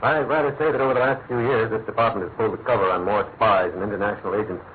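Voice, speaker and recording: A man's voice: lowish voice, fairly even intonation, rapid-fire, lightly acted. A man playing a suave, self-assured secret agent in a radio drama, polite and cool. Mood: smug, polite. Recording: tinny phone-like audio with faint noise.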